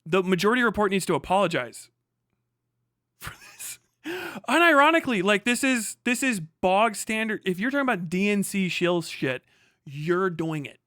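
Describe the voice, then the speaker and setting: Guy streamer ranting at a clip, sharp bright voice, sweary and combative, dry close sound. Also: sits high, clear, resonant tone, dry recording. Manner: ranting, profane, combative, mocking. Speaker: livestream host reacting to a clip. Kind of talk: heated live reaction commentary.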